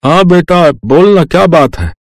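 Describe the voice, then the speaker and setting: A man playing a gentle, supportive village father in a cartoon story, reassuring and a little hesitant. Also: a little high, lively intonation, unhurried, no echo.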